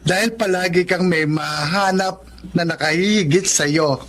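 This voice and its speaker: Very high-voiced, frank, preachy uncle. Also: male voice, a little slow. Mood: admonishing. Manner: preachy, earnest. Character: moralizing male elder relative, frank, admonishing, encouraging. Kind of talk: Tagalog radio drama acting.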